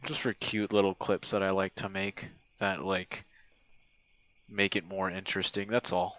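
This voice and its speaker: A man's voice: deep voice, flat delivery, moderate pace, fairly bright tone, poor audio. A man recording a casual ASMR-style voice message to a friend, rambling and hesitant in an informal way. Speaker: content creator recording an audio message. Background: crickets and night insect sounds.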